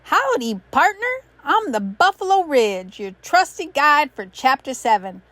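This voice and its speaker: A woman's voice: high-pitched, big pitch swings, a little slow, fairly bright tone, dry recording, heavily acted. A woman voicing a folksy, down-to-earth guide with a sprinkle of mischief. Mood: mischievous. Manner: folksy, twangy greeting.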